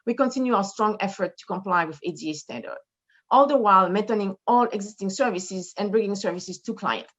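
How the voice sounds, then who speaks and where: A woman with a French Haitian accent delivers a formal presentation as a medical director, measured and steady, walking through figures with the odd filler. Her voice sits at a middle pitch. The video call audio is a little dull. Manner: measured, formal, reading data with occasional fillers. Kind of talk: conference presentation. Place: in front of a brick wall indoors.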